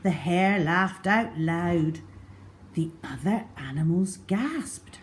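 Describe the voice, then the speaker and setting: A woman narrating a storybook for young children in an expressive, theatrical, deliberate way, letting phrase endings drop to a hush. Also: lowish voice, slight background noise.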